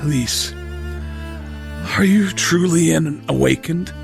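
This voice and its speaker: An actor playing a mortally wounded man in an audio drama, weak and gasping, raspy, halting, with dry wry humour. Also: a little high, soft background music. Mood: weak, pleading. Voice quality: raspy, gravelly.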